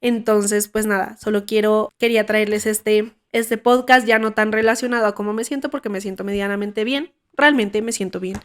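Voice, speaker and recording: A young woman with a Colombian accent hosting her podcast, hoarse from a cold and talking frankly with frequent restarts. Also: mid-range voice, steady tone, moderate pace, few pauses. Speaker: podcast host. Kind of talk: casual podcast monologue.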